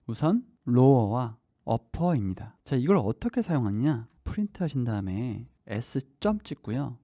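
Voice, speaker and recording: A man's voice: medium pitch, dark tone, no room sound, clean studio sound. A man in his thirties teaching a programming lesson step by step, often asking the listener questions as he types. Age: 30s. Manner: explains step by step while typing, asks the listener questions. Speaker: coding instructor. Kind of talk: screen-recorded programming tutorial.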